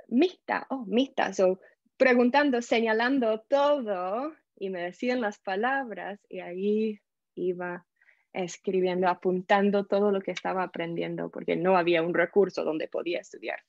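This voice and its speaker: A woman from the United States with an American English accent, a podcast guest telling her story in a lively way and slipping English words into her Spanish. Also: medium pitch, dry recording, muffled recording. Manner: animated, acts out quoted voices, mixes English words into Spanish. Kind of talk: casual interview storytelling.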